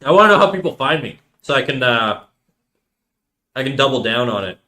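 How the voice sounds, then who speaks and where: A man's voice: medium pitch, big pitch swings, a little fast, pushed and bright. A man of thirty chatting casually on a live stream, rambling in slang and reacting to chat messages. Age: 30s.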